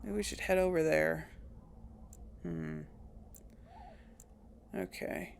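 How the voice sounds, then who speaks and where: A woman casually commenting on her gameplay, thinking aloud with hesitant pauses and small chuckles. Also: low-pitched, big pitch swings, vocal fry, slight background noise. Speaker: let's play gamer.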